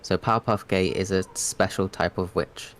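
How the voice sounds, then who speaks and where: A man's voice: low voice, fairly even intonation, normal speed, a bit bright. A man hosting a casual livestream, putting questions to a guest in an informal way. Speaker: host of a livestream.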